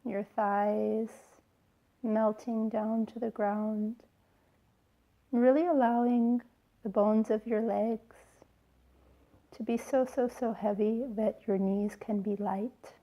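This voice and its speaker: A woman yoga teacher leading a guided relaxation in a soothing, gentle way, with unhurried phrases and pauses. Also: on the high side, steady tone, lo-fi sound. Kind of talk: slow guided relaxation instruction.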